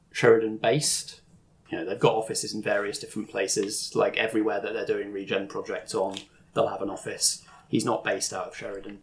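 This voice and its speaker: A man's voice: fairly low pitch, a little hiss or hum, poor audio. A man narrating a tabletop roleplaying game as game master, explaining things in an informal way.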